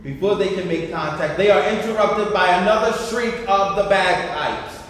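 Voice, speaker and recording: A man's voice: sits high, normal speed, a bit bright. A man hosting a live staged reading, narrating stage directions and giving introductions. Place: large echoey room before a live stream. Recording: echoey hall, far mic, faint noise.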